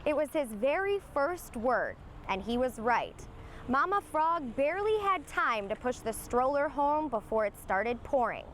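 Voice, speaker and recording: A woman reading a children's storybook aloud in an expressive storytelling manner. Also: sits high, unhurried, slight background noise. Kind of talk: scripted storybook narration for young children.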